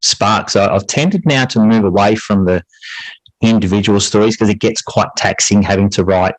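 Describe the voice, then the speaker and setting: A man answering interview questions conversationally as a podcast guest, reflective and halting as he pieces his thoughts together. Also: on the low side, no room sound, low-quality recording. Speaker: podcast guest, teacher and writer.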